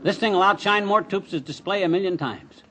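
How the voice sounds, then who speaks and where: An actor playing an excitable, boastful middle-aged husband with a folksy, blustering manner in a 1950s radio comedy. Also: male voice, high-pitched, normal speed. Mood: eager. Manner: folksy, blustering, colloquial exclamations.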